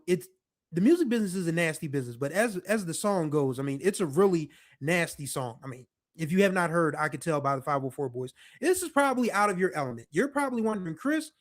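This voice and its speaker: A man in his forties speaks at a rather high pitch for a man. He hosts his own show, talking in a relaxed, meandering way with plenty of fillers. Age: 40s. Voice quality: deeper voice. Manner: relaxed, rambling, with frequent fillers. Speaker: podcast host. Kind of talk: casual nostalgic monologue.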